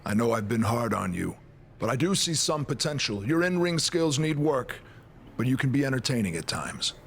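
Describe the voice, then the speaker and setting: A man's voice: mid-range voice, big pitch swings, moderate pace, creaky, fairly bright tone, a little hiss or hum. An actor playing a stern, exasperated male authority figure in a wrestling video game, curt and authoritative. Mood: grudging, curt. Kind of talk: video game cutscene acting.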